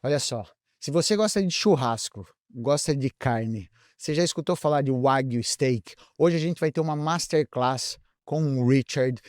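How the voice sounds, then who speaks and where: A man's voice: medium pitch, darkish tone, no room sound. Brazilian man hosting, dry studio sound. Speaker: podcast host. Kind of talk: casual interview chat.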